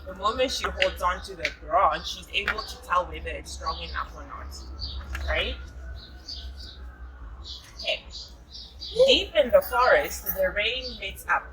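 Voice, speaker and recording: A woman's voice: on the low side, expressive, a little slow, long pauses, recorded from a distance, heavy background noise. A South African woman reading a picture book aloud to young children and explaining it, encouraging them and confirming what they say.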